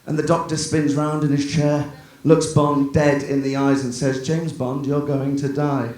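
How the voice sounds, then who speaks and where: A man doing a stand-up set at a comedy night, talking in a chatty, self-deprecating way with quick asides. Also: a little high, flat delivery, darkish tone. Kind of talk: stand-up set, then casual chat. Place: comedy club stage. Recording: very echoey venue with background noise.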